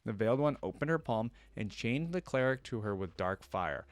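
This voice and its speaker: A man reading a story aloud as a reaction host, expressive in the telling, with casual asides. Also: medium pitch, normal speed, no room sound.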